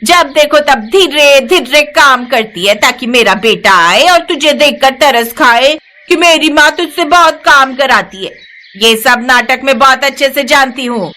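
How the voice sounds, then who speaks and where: A woman's voice: high-pitched, a little slow, pushed and bright. A woman voicing a bitter, taunting old mother-in-law in a dramatised moral story, nagging theatrically. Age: older. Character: bitter, scolding old mother-in-law berating her daughter-in-law.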